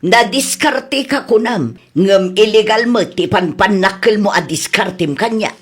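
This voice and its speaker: A disapproving older Ilocano mother in a radio drama. Her medium-pitched voice is husky and rough. She chides like someone who has seen it all before. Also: animated, a little slow. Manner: chiding. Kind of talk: Filipino radio comedy drama acting.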